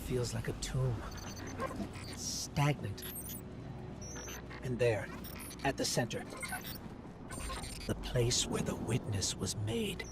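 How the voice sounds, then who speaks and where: A loyal companion in a game cutscene. He is earnest and mid-pitched with a very lively range, speaking slowly over loud noise. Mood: awed, hushed. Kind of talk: dramatic video game cutscene acting. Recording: loud ambient and vehicle noise.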